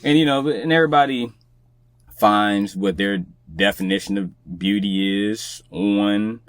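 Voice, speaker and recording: A man's voice: lowish voice, unhurried, no room sound, clean studio sound. A man talking casually as a guest on a podcast. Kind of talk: casual chat.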